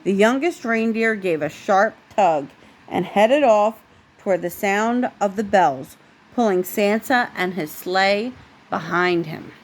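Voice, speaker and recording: A woman who is a parent reading a storybook aloud in an expressive way, with casual asides. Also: mid-range voice, slow pace.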